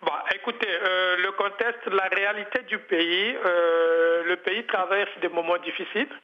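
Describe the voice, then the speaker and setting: Dull remote line, high-pitched man. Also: steady tone, unhurried. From Congo-Brazzaville. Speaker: interview guest speaking from Havana. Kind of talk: live radio interview.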